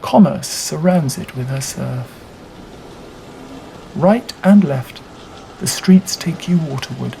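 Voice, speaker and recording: A narrator reading a classic novel aloud in a measured, deliberate way with dramatic phrasing. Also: medium pitch, animated, vocal fry, mellow, rough recording. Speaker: audiobook reader. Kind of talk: scripted literary narration. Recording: audible background noise.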